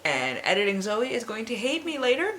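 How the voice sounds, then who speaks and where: A woman's voice: on the high side, very animated, brisk, fairly bright tone, a little hiss or hum. A woman hosting a casual book vlog, talking informally and emphatically with plenty of swearing. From Canada. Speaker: book reviewer, video host.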